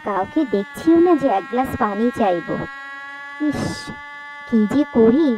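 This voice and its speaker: A woman's voice: a little high, lively intonation, a little slow, background noise. A woman playing a kind, outspoken young daughter in a cartoon, speaking earnestly and plaintively. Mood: puzzled. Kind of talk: Bengali moral-story cartoon dub.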